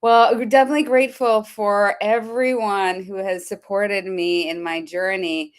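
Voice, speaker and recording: A woman from Kenya speaks as a guest on a live podcast. She sounds cheerful and laughs readily, and her voice sits at an ordinary pitch for a woman. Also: steady tone, normal speed, clear, resonant tone.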